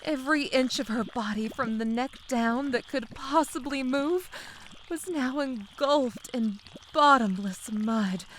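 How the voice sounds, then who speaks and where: A woman's voice: sits high, slight background noise. A woman giving a scripted, dramatic story narration for a comic dub. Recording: faint bubbling mud ambience behind voice. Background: gooey quicksand sound effects.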